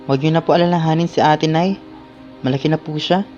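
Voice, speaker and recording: A dutiful teenage brother in a school radio drama, played by a man. He is polite and deferential to his elders. The recording is slightly dull, with soft music behind. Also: on the high side, fairly even intonation, quick pace, close to an everyday voice. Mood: reassuring, dutiful. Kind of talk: amateur radio drama acting. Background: background music.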